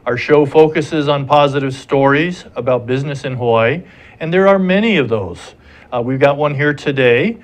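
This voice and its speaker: A man's voice: medium pitch, normal speed. An older man hosting a business talk show from a TV studio, friendly and a little hesitant. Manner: hesitant, friendly, many fillers.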